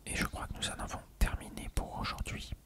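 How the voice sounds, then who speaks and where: An ASMR host whispering an explanatory article reading in a measured, unvoiced voice.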